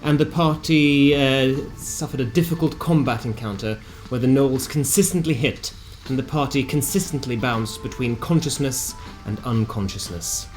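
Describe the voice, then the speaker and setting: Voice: mid-range voice, a little mellow. A narrator reading a scripted recap of a tabletop fantasy game in a theatrical storytelling manner with wry asides. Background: music.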